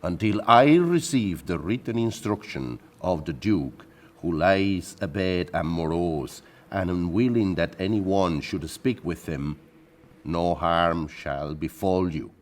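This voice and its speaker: Man acting a low-voiced, haughty Spanish admiral. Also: lively intonation, takes it slowly. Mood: stern, formal. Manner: pompous, formal, declaratory. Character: haughty, high-handed Spanish admiral, pompous turkey cock. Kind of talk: audio play acting.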